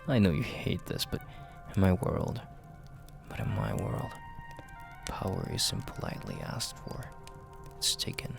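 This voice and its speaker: Mafia boss for audio roleplay, male actor: dominant and seductive man, slow, swooping delivery in a breathy gravelly murmur, teasing but in command. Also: low-pitched, huge pitch swings, music in the background, heavily acted. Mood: menacing, controlled. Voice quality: gravelly, breathy half-whisper.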